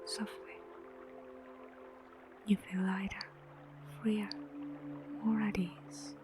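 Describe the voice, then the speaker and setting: Breathy near-whispering woman guiding meditation. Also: mid-range voice, flat delivery. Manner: gentle, soothing, unhurried with long pauses. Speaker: meditation guide. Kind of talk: guided meditation narration. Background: prominent music.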